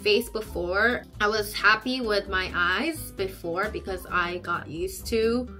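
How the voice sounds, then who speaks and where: A woman, talking to the camera in a chatty, expressive way from a small bedroom. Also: slow pace, soft background music. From South Korea. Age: 30s. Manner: chatty and expressive, acts out quoted people in a high voice. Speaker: YouTube vlogger. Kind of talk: casual talk to camera.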